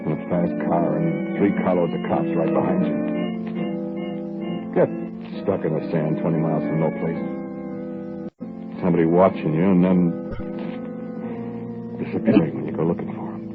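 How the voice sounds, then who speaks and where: Man doing a gruff low-voiced killer on the run, clipped and tense, tinny old radio broadcast. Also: lightly acted. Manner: gruff, clipped, addresses the listener directly. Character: hard-bitten male robber on the run, tense and curt. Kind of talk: 1940s radio drama acting with narration.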